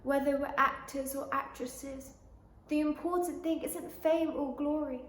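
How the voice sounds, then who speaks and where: Wounded, exhausted young stage heroine, played by a woman: high, slow, halting delivery, tragic monologue, echoey room. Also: fairly even intonation, slight background noise. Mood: fragile resolve. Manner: halting, repeating, drifting between thoughts. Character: weary, wounded young actress clinging to faith.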